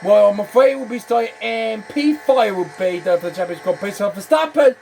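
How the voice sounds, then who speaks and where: A man's voice: high voice, expressive, fairly quick, gravelly, darkish tone, slight background noise. A man hosting a gaming channel, commenting on a racing management game while losing his voice, with a repetitive habit of saying "then" and "I'm afraid".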